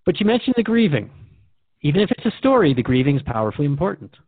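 A man's voice: a little high, very animated, quick pace, dry recording. A man speaking as a radio host in a conversational drama dialogue, reflective and earnest. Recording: dry, narrow phone-like sound.

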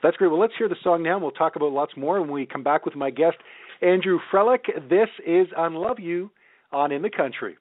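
A man's voice: a little high, brisk, no echo, phone-quality sound. Effusive male radio host, dry sound. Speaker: radio show host, interviewer. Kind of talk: conversational radio interview.

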